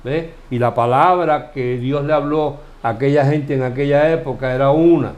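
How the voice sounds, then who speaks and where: A Cuban man leads a Bible study in a conversational, questioning way. He explains at an unhurried pace and often restarts his phrases while he thinks. His voice sits at an ordinary middle pitch for a man. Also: darkish tone. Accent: Cuban Spanish. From Cuba. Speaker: Bible teacher.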